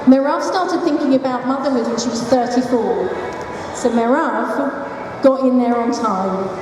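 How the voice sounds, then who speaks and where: A woman's voice: on the high side, measured pace, over music. A woman gives a chatty conference presentation, with self-deprecating jokes and asides. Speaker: founder of a support group for single mothers. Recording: echoey hall, mic heard from a distance, noisy.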